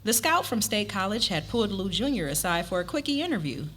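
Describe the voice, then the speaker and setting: A woman's voice: mid-range voice, sing-song, fast talker, a bit bright. A woman narrating a scripted story expressively at a live dramatic reading. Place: live event before an audience. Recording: live reading with clear ambient noise.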